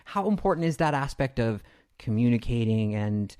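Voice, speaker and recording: Reflective male podcast host, medium pitch. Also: lively intonation, a little mellow, clear, resonant tone. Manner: halting, reflective, repeats words. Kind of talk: conversational interview.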